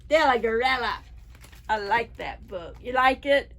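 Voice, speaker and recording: Expressive, slow-paced woman reading to children. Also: fairly high pitch. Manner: expressive, unhurried, asking the children questions. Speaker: children's library storytime host. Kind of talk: picture-book read-aloud for preschoolers.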